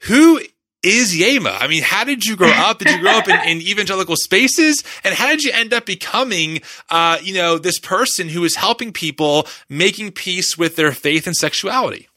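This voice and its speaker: A man's voice: sits high, animated, normal speed, pushed and bright, no room sound, clean studio sound. A man hosting a podcast in an upbeat, conversational way, casually introducing and interviewing. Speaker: podcast host.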